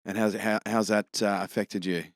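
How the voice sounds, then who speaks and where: A man's voice: lowish voice, flat delivery, moderate pace, no room sound, clean studio sound. A man hosting a podcast interview, asking questions in a blunt, matey way with casual swearing.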